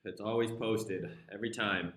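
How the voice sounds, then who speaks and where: A man's voice: on the low side, steady tone, normal speed, a bit bright, reverberant. A young man with a flu-congested voice hosting a casual live stream, laid-back and profane as he talks through charts.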